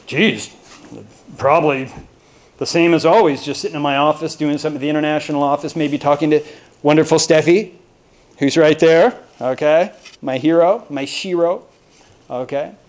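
A man's voice: a little high, normal speed. An American man from Texas giving an informal, humorous lecture to colleagues, rambling and joking at his own expense. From Austin, Texas, United States. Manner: self-deprecating, rambling, jokey storytelling. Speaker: university lecturer giving a talk.

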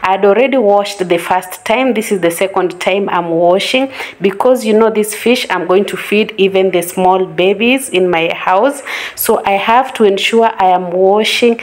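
A woman's voice: mid-range voice, moderate pace. A woman with a Kenyan English accent talks a home audience through kitchen prep in an easy, instructive way, often repeating her points. She is recorded at her kitchen sink by a camera set on the counter. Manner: instructive, repeats advice for emphasis. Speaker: home cooking vlogger. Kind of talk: casual how-to narration.

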